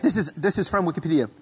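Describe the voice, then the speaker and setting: A man from the Philippines preaching a sermon in a measured, reflective way, often clearing his throat. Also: high-pitched, a bit bright, big-room sound. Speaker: pastor.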